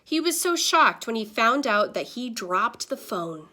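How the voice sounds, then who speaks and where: A woman reading a children's story aloud in the style of a teacher, with dramatic pauses and stressed words. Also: fairly high pitch, very animated, bright, forceful tone.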